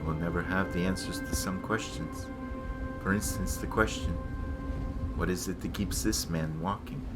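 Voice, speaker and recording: A man in a dramatic reading playing a hard, taunting polar explorer with grim philosophy, in a theatrical, cutting and deliberate delivery. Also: low voice, steady tone, close to an everyday voice. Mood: somber, musing. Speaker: stage actor. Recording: loud music behind the voice. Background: music.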